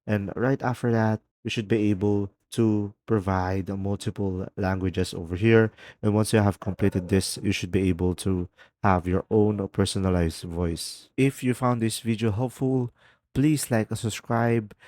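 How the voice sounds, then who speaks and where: A man narrates a step-by-step tutorial in a casual style. His voice sits on the low side, with a dark, relaxed tone. The sound is very dry, with no room around it. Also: a little slow, no echo. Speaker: tutorial host.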